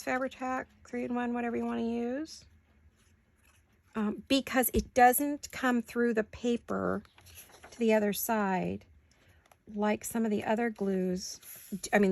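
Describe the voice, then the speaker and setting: A woman with a sore throat chatting casually to viewers while she crafts at home, thinking out loud as she works. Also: fairly high pitch, creaky. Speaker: craft vlogger. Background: scissors and crafting clicks.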